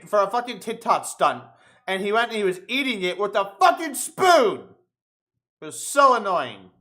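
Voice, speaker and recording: Bright-voiced young man ranting. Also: sits high. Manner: profane, rambling, ranting. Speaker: podcast co-host. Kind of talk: casual chat.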